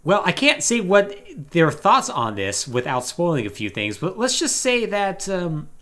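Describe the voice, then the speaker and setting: Male podcast co-host, casual and rambling. Lively intonation, sharp bright tone, wry exasperated humor. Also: sits high, a little slow. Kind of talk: casual podcast talk explaining game storylines.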